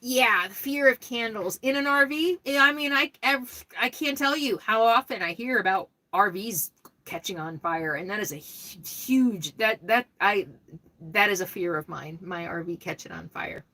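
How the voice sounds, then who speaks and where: A middle-aged woman chatting casually on a live stream, answering viewers' questions in a rambling way with lots of restarts. She has a loud voice that carries well. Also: mid-range voice, moderate pace.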